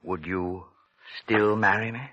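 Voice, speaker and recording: An actor playing a devoted, anxious gentleman suitor in a 1940s radio melodrama, earnest and agitated. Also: on the low side, fairly even intonation, measured pace. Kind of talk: 1940s radio drama acting. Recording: old lo-fi 1940s radio recording.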